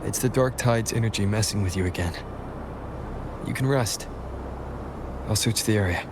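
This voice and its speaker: A man's voice: on the low side, moderate pace, background noise, close to an everyday voice. A man voicing a serious, resolute young adventurer in a video game, pensive and earnest. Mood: concerned. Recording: music behind, long hall reverb.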